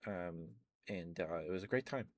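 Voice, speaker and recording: A man rambling casually about video games in a soft-spoken ASMR stream, full of ums and uhs. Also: deep voice, moderate pace.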